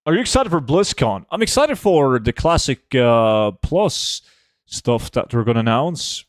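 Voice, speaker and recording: Relaxed young man chatting with viewers. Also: mid-range voice, unhurried, no echo. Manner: relaxed, chatty, answers viewer questions offhand. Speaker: gaming streamer reading chat. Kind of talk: casual live gameplay chat.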